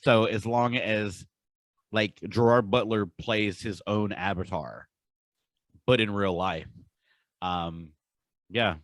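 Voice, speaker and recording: A man with a fairly low voice talks casually as a podcast co-host. He is enthusiastic and leans hard on his favorite words. The sound is very dry, with no room around it. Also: fairly even intonation, slow pace, long pauses, no room sound. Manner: enthusiastic, emphatic.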